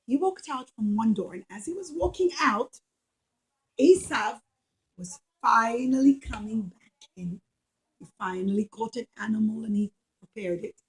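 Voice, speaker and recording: A woman with a high voice narrates a puppet show for children. She teaches as she tells the story, using simple, emphatic phrases and plenty of repetition. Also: poor audio.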